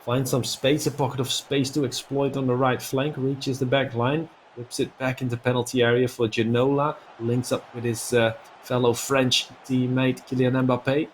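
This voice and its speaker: A man's voice: medium pitch, flat delivery, normal speed, hardly any pauses, slight background noise. A man giving live play-by-play commentary on a football video game as an esports commentator.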